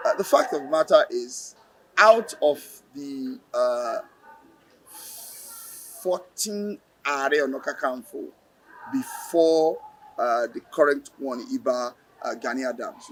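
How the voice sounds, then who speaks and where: A man with a Nigerian accent explaining in an interview, deliberate and halting, repeating phrases for emphasis. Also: medium pitch, slight background noise, poor audio. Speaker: lecturer and play director interviewed.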